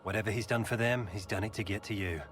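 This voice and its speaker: An actor playing an earnest, worried young nobleman in a video game, speaking in a measured way. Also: lowish voice, steady tone, over music, natural voice. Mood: confiding, worried. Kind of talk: video game dialogue acting.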